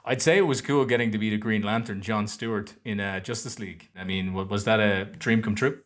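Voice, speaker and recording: A man's voice: lowish voice, steady tone, fairly quick, fairly bright tone. A man hosting a podcast interview, asking eager, fan-like questions and often restarting his sentences. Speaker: podcast host. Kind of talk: casual interview.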